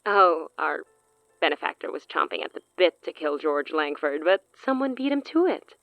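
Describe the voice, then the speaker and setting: A woman's voice: deep voice, sing-song, moderate pace, creaky, dry recording, dull audio, full character voice. A woman playing a smug, menacing sorceress in an audio drama, theatrical and taunting with amused condescension. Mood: taunting amusement.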